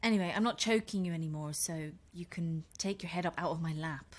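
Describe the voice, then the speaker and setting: An actress playing a flustered, indignant reluctant guest in a horror-comedy audio drama, with a prim manner. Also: female voice, fairly low pitch, brisk, natural voice. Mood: wary. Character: flustered, indignant English woman, romance novelist, reluctant guest.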